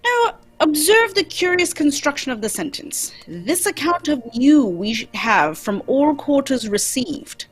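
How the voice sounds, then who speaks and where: A keen gentleman detective from an old-time radio play, played by a woman. The voice is high and very animated, theatrical and courteous, explaining with brisk confidence. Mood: keen, instructive.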